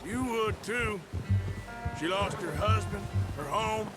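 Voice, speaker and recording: An actor playing a rugged, world-weary American outlaw with a folksy, plain-spoken drawl in a Western video game. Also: male voice, high voice, animated, slow pace. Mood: weary, matter-of-fact. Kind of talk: video game dialogue acting. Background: loud background music.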